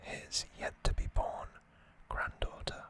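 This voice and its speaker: An ASMR host whispering a trivia summary in a breathy, unvoiced, hushed and measured way. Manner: slow, hushed, measured delivery.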